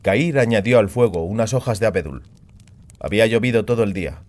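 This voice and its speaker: A man narrates a fantasy audiobook in a fairly low voice. His delivery is scripted storytelling, read as a narrator. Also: expressive, fairly quick, darkish tone.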